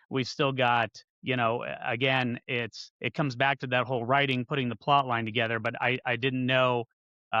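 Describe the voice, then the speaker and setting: A man's voice: mid-range voice, sharp tone, no room sound, muffled recording. A man hosting a podcast, rambling through his thoughts with lots of restarts. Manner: rambling, many fillers and restarts. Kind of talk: casual chat about a movie.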